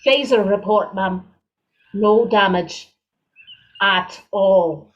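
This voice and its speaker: A woman's voice: medium pitch, very slow. An actress playing a businesslike female starship engineer in an audio drama, reporting matter-of-factly. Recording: slightly dull, lo-fi sound.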